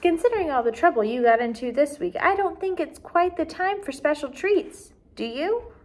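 A woman voicing a firm, unimpressed parent in a storybook, measured and reproving. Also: sits high, animated, pitched above the performer's own voice.

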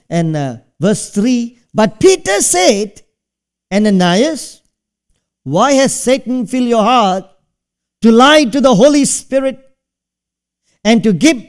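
A middle-aged man from Singapore, preaching a sermon from a church stage and asking the congregation questions. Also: very high voice. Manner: conversational preaching, asks the audience questions. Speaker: pastor.

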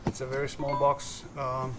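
A man giving an unscripted casual walkthrough as a vlogger, halting and hesitant, often pausing mid-sentence. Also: medium pitch, monotone, music in the background. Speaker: vlogger showing his gear. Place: outdoors in a residential driveway. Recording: clear outdoor ambient noise.

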